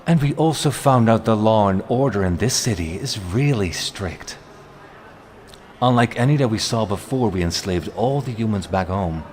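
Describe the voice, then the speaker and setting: A man's voice: fairly low pitch, expressive, normal speed, a little mellow, slight background noise, natural voice. A man playing a refined, haughty vampire henchman in a fantasy audio drama, with a formal, wry, drawling delivery. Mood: smug, wistful.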